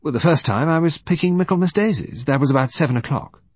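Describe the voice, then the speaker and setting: A man voicing a brisk, defensive young upper-class woman in an audiobook, clipped and matter-of-fact. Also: on the high side, expressive, breathy edge, dry recording, lo-fi sound, voice pushed up. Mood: brisk, casual. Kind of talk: audiobook character voice.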